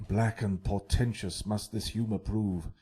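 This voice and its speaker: A man's voice: lowish voice, fairly even intonation, measured pace, dark tone, close to an everyday voice. An actor playing a grave, worried noble father in a classical Shakespearean radio drama. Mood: troubled, grave.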